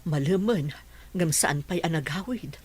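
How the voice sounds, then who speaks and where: A woman's voice: deep voice, a little slow, a little mellow, slightly rough. A woman acting an anxious mother in an Ilocano radio drama, emphatic and worried.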